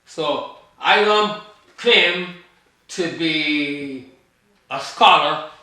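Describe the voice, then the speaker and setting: Older guy from barbados, high bright voice, keeps repeating himself in a big echoey room. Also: extremely slow. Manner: emphatic, repeats himself, trails off mid-thought. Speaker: guest, author. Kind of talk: rambling conversational storytelling.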